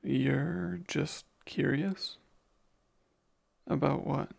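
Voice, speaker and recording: A man acting as kind male vampire, very creaky voice, husky, tender, formal, soothing ASMR roleplay. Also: a little high, steady tone, extremely slow, a little mellow, full character voice. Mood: reluctant, questioning. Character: gentle, protective male vampire caretaker.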